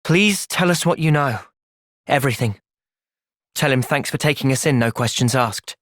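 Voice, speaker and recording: An actor playing an earnest, thoughtful young male hero in a fantasy video game, speaking sincerely and clearly. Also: medium pitch, moderate pace, fairly bright tone, no echo, studio quality, close to an everyday voice. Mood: solemn, reflective. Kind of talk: video game cutscene voice acting.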